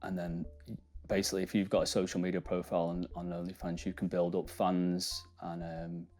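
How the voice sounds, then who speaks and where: Man in his thirties, hesitant, monotone delivery. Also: low voice, faint music behind. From Manchester. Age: 30s. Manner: hesitant, halting explanations. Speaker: interviewee.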